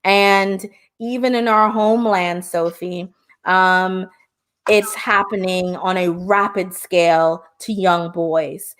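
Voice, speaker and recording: A woman's voice: fairly low pitch, fairly even intonation, takes it slowly, a bit bright. A middle-aged woman from Jamaica, hosting a live-stream discussion and speaking passionately, often turning to address her viewers directly. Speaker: host and hypnotherapist.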